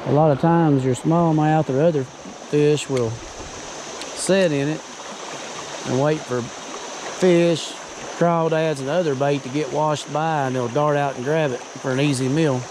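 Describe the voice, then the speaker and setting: Unhurried man wading a noisy river. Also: a little high. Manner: relaxed, muttering to himself between casts. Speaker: fishing vlogger. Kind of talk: casual outdoor narration while fishing. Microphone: body-worn camera. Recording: outdoor camera audio with river noise. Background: rushing river water.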